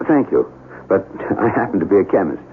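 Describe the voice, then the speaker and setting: An actor playing a troubled, courteous chemist in a 1970s radio drama, with a courtly, earnest, theatrical delivery. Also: male voice, low-pitched, big pitch swings, fairly quick, slight background noise. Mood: courteous, guarded. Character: troubled, courteous male chemist haunted by his past. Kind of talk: 1970s radio drama acting with brooding monologue. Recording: dull vintage radio recording, music behind.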